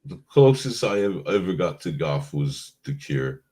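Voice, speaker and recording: Mellow, drowsy low-voiced man. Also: sing-song, a little slow. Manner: rambling, mellow, trailing off. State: stoned and drowsy. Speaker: podcast co-host. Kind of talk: casual live-stream chat.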